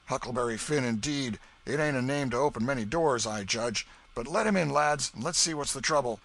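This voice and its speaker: A man's voice: fairly quick, fairly bright tone. A man playing a hearty old Welshman. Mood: gruff. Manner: hearty, booming, fatherly.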